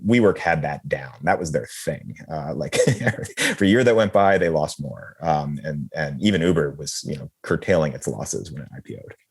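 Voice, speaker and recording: A man with a very deep voice answers as a journalist guest. His explanations come in halting bursts, full of fillers and restarts. He talks at length in a casual way. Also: expressive, husky. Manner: hesitant, many fillers and restarts, chuckling.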